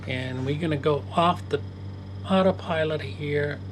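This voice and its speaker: A man giving relaxed, casual commentary while flying a flight simulator at his home desk, often correcting himself mid-sentence. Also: fairly high pitch, unhurried, background noise. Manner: chatty, self-correcting, relaxed.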